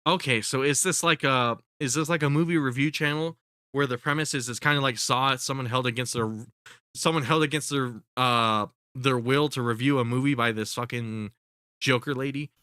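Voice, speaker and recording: Stuffed-up guy rambling on his stream, cussing a lot, sharp bright voice, dead dry sound. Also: mid-range voice, steady tone, normal speed, smooth, no room sound. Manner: rambling, profane, full of fillers and restarts. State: congested. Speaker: streamer commentating on videos. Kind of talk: casual live-stream commentary.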